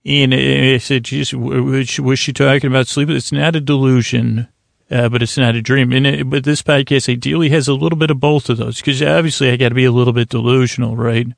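A man hosting a bedtime podcast, rambling in a halting, meandering, self-deprecating monologue meant to lull listeners to sleep. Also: medium pitch, little pitch movement, gravelly, no echo, clean studio sound. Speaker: podcast host.

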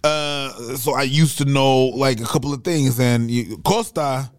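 A man's voice: medium pitch, measured pace, no room sound. Male podcast talker, mid-range voice, loose comic storyteller with slang and swearing, recorded dry. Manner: animated slangy swearing, acting out quoted dialogue. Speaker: podcast speaker. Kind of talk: casual comedic storytelling chat.

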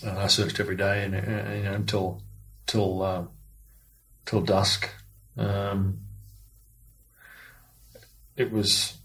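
Man, monotone, lowish pitch, halting informal interviewee. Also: low-quality recording. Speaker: interviewee, father recounting events.

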